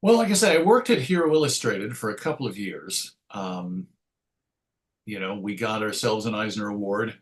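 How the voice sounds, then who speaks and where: A man's voice: lowish voice, animated, normal speed. A middle-aged man giving a casual interview, telling anecdotes in a chatty, wry storytelling way. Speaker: interview guest, comics writer and editor.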